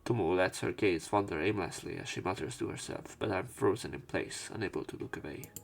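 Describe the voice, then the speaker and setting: Low, gravelly man reading a story. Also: brisk. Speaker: let's player. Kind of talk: dramatic read-aloud narration of a visual novel.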